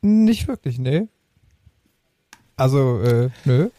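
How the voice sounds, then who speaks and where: A man's voice: mid-range voice, very animated, slow, mellow, no echo. A man hosting a podcast in casual chat, often repeating words and phrases as he gathers his thought. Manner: stammering repetition of words and phrases. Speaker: podcast host. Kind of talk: casual chat about music.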